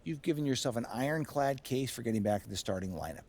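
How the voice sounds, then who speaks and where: A man's voice: medium pitch, expressive, fast talker, a little mellow, breathy edge. A man playing a supportive, good-humored basketball coach in a video game, casual and encouraging. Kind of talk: naturalistic video game cutscene acting.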